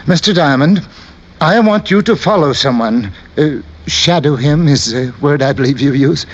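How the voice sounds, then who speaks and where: An actor playing a nervous, fussy client, hesitant, prim and apologetic, in old radio drama style. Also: male voice, on the high side, lively intonation, normal speed. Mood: anxious, prim. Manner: hesitant, stammering, apologetic, throat-clearing, prim. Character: timid, fussy, nervous male detective's client. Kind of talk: 1940s-50s radio acting. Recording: dull old-time radio recording.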